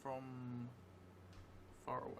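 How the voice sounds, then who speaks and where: A middle-aged man thinking aloud as he improvises a solo tabletop game, hesitant and musing with long pauses. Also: medium pitch. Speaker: solo role-player and streamer. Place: indoor theatre room, seated at a table. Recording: clear steady background noise.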